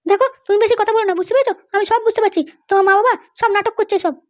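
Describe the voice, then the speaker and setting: A woman voicing a sulky young cartoon wife who accuses her in-laws, complaining in a pitched-up voice. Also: very fast, no room sound. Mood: indignant. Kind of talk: comedy cartoon dialogue.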